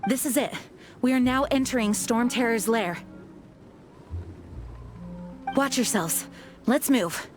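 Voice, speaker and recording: A woman's voice: on the high side, animated, normal speed, music in the background, lightly acted. A woman voicing a resolute, earnest young knight commander in a video game, with formal, confident, commanding delivery.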